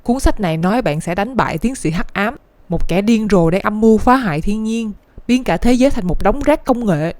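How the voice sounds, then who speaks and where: A woman's voice: mid-range voice, animated, a little fast, a little mellow, no echo, lightly acted. A woman voicing a talking rooster scientist in a cartoon, secretive and earnest, with a southern Vietnamese accent and an explanatory, dramatic delivery. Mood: solemn, persuasive. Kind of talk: animated cartoon dialogue. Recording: music behind the voice.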